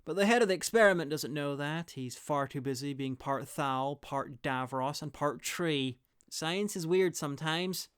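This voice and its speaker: A man's voice: a little high, moderate pace, fairly bright tone, clean tone. A man narrating a scripted review in a wry, irreverent way. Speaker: reviewer presenting audio drama clips.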